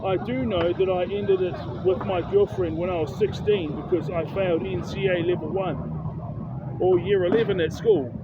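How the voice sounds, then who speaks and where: A man's voice: high voice, steady tone, moderate pace. Earnest New Zealand man on a tinny phone. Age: 30s. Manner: earnest, repeats and restarts phrases. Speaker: self-help vlogger. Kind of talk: personal motivational monologue. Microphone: phone held close. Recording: tinny phone audio, loud street traffic. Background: cars and street noise.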